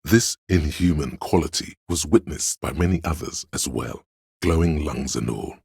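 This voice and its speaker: A very deep, gravelly scholarly narrator. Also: male voice, dry recording, clean studio sound, full character voice. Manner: measured, grandiloquent, savoring words. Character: erudite, reverent inner voice of encyclopedic knowledge. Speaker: game voice actor. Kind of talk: dramatic scripted narration.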